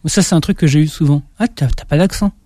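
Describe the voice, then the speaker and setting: A man's voice: a little high, quick pace, dark tone, no echo, studio quality. A young man from France with a slight Auvergne accent, an author answering interview questions in a hesitant way, with frequent restarts. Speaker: author guest. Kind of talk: interview conversation.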